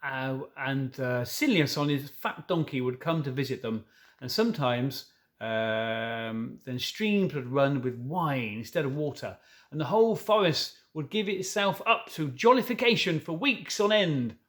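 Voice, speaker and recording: A man's voice: mid-range voice, lively intonation, measured pace, fairly bright tone. A man reading a children's novel aloud, stumbling now and then and correcting himself, with informal asides. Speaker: storybook reader.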